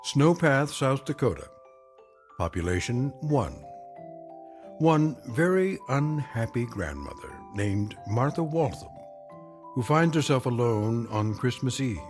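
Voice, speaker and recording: A man narrating a scripted drama anthology in a solemn, measured, wry storytelling manner. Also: mid-range voice, very animated, darkish tone. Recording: music behind.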